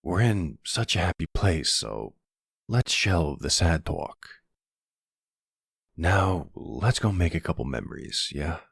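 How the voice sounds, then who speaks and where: A man playing a protective, doting middle-aged mafia boss in an intimate roleplay, speaking slowly with pet names in a gruff, husky voice. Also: expressive, a bit bright, dry recording, studio quality, exaggerated voice. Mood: tender, apologetic. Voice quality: low gravelly, husky. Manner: slow, affectionate, uses pet names. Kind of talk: intimate ASMR boyfriend roleplay.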